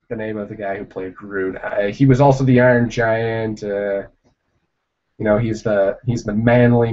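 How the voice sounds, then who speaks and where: A young man chatting casually on a livestream, answering viewers in a rambling, halting way with many fillers. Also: fairly low pitch, a little mellow. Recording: dull lo-fi livestream audio.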